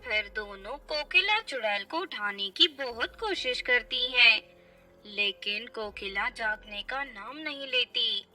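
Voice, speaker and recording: Casting: female narrator for cartoon tales, slightly slow delivery, very sharp bright tone, faint music bed. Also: fairly high pitch, lively intonation. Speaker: storyteller. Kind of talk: scripted story narration for a cartoon.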